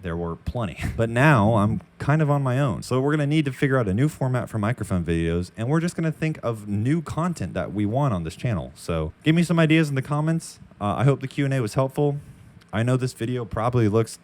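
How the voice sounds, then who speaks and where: A man's voice: mid-range voice, animated, a little fast, darkish tone. A young man in his twenties hosting a casual Q and A vlog, explaining microphone choices in a frank, chatty way, recorded close on a dynamic mic in a makeshift space. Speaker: audio YouTuber. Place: temporary makeshift room near a construction site.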